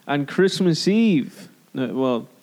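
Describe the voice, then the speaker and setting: Man on a podcast, a bit hoarse, chatty, laughs a lot, reads quotes. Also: a little high, a little mellow, a little hiss or hum. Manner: laughs often, reads review quotes aloud. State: losing his voice. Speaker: podcast co-host. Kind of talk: casual chat.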